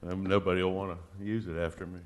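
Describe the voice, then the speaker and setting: Deep-voiced man chairing a public meeting. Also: little pitch movement, quick pace, slight background noise. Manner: plain-spoken, folksy, reminiscing. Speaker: committee chair. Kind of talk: public meeting, casual chat.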